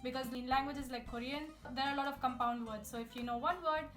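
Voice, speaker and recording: High-voiced chatty woman. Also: fairly even intonation, quick pace, a bit bright. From India. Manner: chatty, upbeat, talks straight to viewers. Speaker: language-learning YouTuber. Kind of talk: casual tips video to camera. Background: guitar music.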